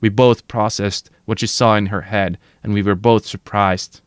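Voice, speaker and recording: A man's voice: lowish voice, steady tone, normal speed, smooth, no echo, clean studio sound. A man reading a novel aloud for his stream audience, narrating dramatically and chatting casually and slangily between passages.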